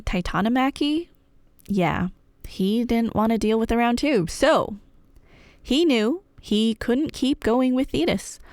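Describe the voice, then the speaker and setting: A woman tells a story as a chatty, playful girlfriend in a casual roleplay. She takes an unhurried pace and keeps a wry, irreverent, conversational tone. The sound is dry, with a medium pitch. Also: no room sound. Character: chatty, playful, nerdy girlfriend telling myths. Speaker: audio roleplay performer playing a girlfriend. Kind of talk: casual rambling storytelling, scripted ASMR roleplay.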